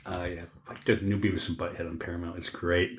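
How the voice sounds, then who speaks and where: Male podcast co-host, very low voice, casual and opinionated with salty language, echoey room sound. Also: level tone, fast. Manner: opinionated, profane. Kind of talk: casual chat.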